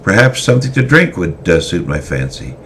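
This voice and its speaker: A man's voice: low voice, sing-song, fairly quick, a bit bright, voice pushed up, heavily acted. A man voicing a brash fifteen-year-old boy who speaks in archaic formal phrases, over faint background noise. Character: bold teenage boy of fifteen.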